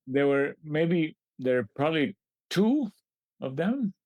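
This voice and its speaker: A man in his forties from Kenya, a scientist answering interview questions in a thoughtful, halting way with light laughs. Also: a little high, sing-song, no room sound, phone-quality sound. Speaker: scientist guest.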